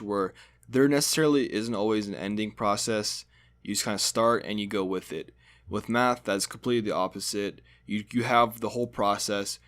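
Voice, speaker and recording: A young man whose first language is Hungarian, narrating a school video project in a relaxed, conversational way with fillers. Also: fairly low pitch.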